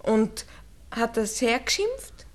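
A woman's voice: a little high, sing-song, slow pace. An actress playing a worldly, sceptical young woman with a Viennese accent, pert and offhand, in a 1960s radio drama.

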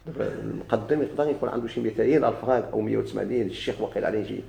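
A man with a Moroccan Arabic accent teaches grammar in a dark, gravelly voice. He moves briskly, repeats key words and quizzes his students. The recording is echoey. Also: lowish voice, fairly even intonation. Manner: explains slowly, repeats and stretches key words, asks students questions.

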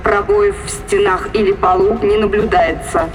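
An actress playing an impassive female ship's computer in a science fiction audio drama, giving formal, measured status reports. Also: medium pitch, fairly even intonation, lightly acted, rough recording.

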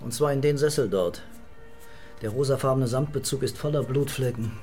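An actor playing a composed police commissioner, terse and measured, in a radio crime drama. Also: male voice, medium pitch, darkish tone, soft background music, close to an everyday voice. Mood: sober, matter-of-fact.

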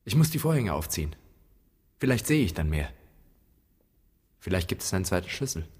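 A man's voice: low-pitched, big pitch swings, fairly quick, darkish tone, breathy edge, heavily acted. An actor playing a brooding grown son haunted by a father he never knew, speaking an introspective radio drama monologue. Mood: brooding, searching.

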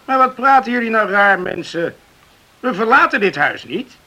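Radio play role: earnest young farmer, animated melody, slightly rough forceful voice. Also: male voice, sits high, moderate pace, heavily acted. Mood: earnest, reassuring. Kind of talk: Dutch radio drama acting.

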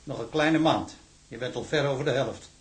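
A man's voice: mid-range voice, normal speed, lightly acted. An actor playing a plain-spoken, fatherly prison warder in a Dutch audio drama, homely, patient and encouraging. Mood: kindly, encouraging.

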